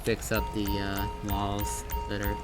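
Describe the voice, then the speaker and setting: Loud music plays behind a man with a fairly low voice. He talks casually and laid-back as he streams a roleplay game, dropping the odd swear word. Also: completely flat delivery, slow. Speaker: livestreamer playing a roleplay game.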